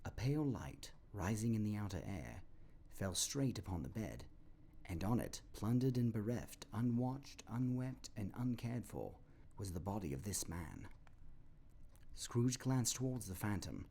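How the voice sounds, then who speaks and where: Male narrator, lowish dark voice, highly varied intonation, dramatic ominous storytelling, faint noise behind. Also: very animated, unhurried, vocal fry.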